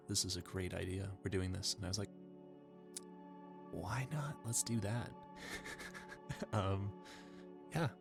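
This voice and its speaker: Young male creator, casual and self-effacing, gravelly low register, hesitant delivery, faint music behind. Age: young adult. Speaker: amateur voice actor, channel creator. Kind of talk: casual chat to viewers.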